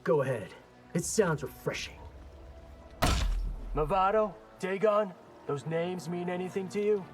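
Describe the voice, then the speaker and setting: Cocky, higher-voiced young fighter. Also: male voice, a little slow, pauses often, music in the background. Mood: cocky, wry. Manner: casual, wry, quippy. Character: cocky, wry young male human fighter. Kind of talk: video game fight dialogue.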